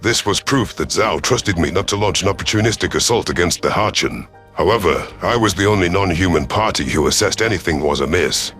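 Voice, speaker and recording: A man performs a proud reptilian alien commander narrating a space war story. The voice is low and stern, with formal phrasing and a gruff temper. Music sits behind it. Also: expressive, brisk, hardly any pauses, fairly bright tone. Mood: grave narration. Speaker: narrator in character. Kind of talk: first-person scripted narration.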